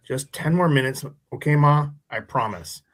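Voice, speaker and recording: A man's voice: mid-range voice, unhurried, lightly acted. Man playing an earnest nerdy teenage superfan. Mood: irritated. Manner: stammering, earnest, formal nerdy phrasing. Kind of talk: live radio play read.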